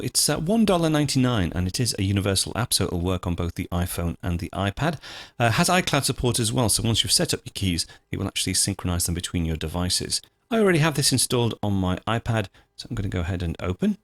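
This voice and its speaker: A man with a fairly low voice narrates a screencast tutorial. His intonation moves up and down a lot as he explains each step methodically and informally. The sound is dry and close to the microphone. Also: dry recording. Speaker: host of a software tutorial show.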